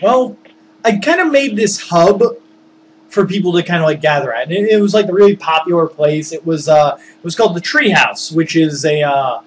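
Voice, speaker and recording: A man telling a story casually, rambling and halting as he searches for words and digresses. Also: a little high, expressive, a little hiss or hum, rough recording. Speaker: storyteller, former tabletop game master.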